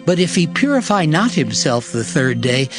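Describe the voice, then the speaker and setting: A man playing a solemn prophet reciting ritual law deliberately in a dramatized scripture reading. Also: a little high, big pitch swings, music in the background.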